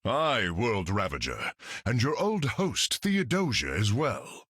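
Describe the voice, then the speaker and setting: An actor voicing a proud alien warrior who speaks in an archaic, grand way in a game. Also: male voice, fairly low pitch, wildly swooping pitch, slow pace, fairly bright tone, slightly rough, long reverb tail, heavily acted.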